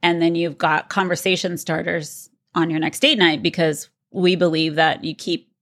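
A woman's voice: lowish voice, fairly even intonation, moderate pace, fairly bright tone. A woman co-hosting a podcast with her husband, chatting casually and teasing him with easy laughs. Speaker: podcast co-host, sexuality coach. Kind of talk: casual married-couple chat.

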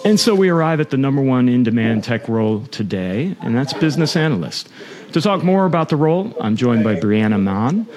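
A man's voice: medium pitch, very animated, a little fast, music in the background. A man hosting a podcast about careers, introducing guests and asking questions in a friendly, encouraging way. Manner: friendly, encouraging, brief stumbling restarts. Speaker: podcast host.

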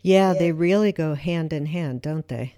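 A woman interviews a classmate for a podcast, asking encouraging questions. Her voice is very low and mellow. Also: unhurried.

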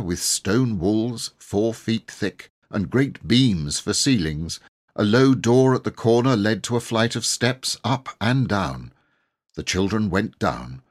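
Male audiobook narrator: low, slightly husky, measured and expressive scripted storytelling.

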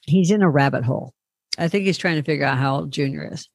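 A woman's voice: low-pitched, fast talker, a little mellow, dry recording, studio quality. A middle-aged woman co-hosting a casual podcast, telling rambling stories and teasing her co-host. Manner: chatty, rambling storyteller, teasing. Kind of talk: casual chat.

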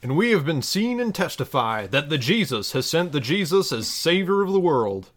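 A fervent Texan pastor put on by a man preaches mock scripture in a theatrical drawl. The voice swings widely in pitch from phrase to phrase. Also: big pitch swings, moderate pace. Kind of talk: theatrical sermon parody.

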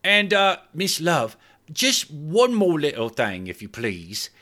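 A man's voice: a little high, wildly swooping pitch, slow pace, bright, forceful tone, voice pushed up, heavily acted. A man voicing a nervous, sheepish male hotel attendant, wheedling and hesitant. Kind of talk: audiobook character voice.

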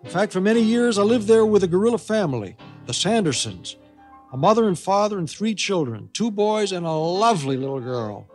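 Man playing an eccentric, high-pitched old grandpa. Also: animated, brisk, soft background music. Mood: fond, reminiscing. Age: older. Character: eccentric old grandfather telling tall tales, wistful and boastful.

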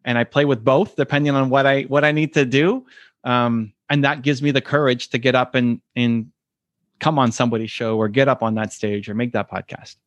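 A man's voice: fairly even intonation, brisk, no room sound, lo-fi sound. Earnest man, dry close sound. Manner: earnest, acts out quoted voices with rising pitch. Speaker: podcast guest. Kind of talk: reflective conversational interview answers.

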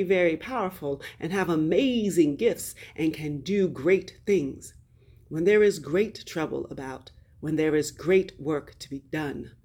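A woman storyteller with a husky, lowish voice takes her time over a folktale. She narrates expressively as host, stretching key words and leaving dramatic pauses between phrases. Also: warm tone.

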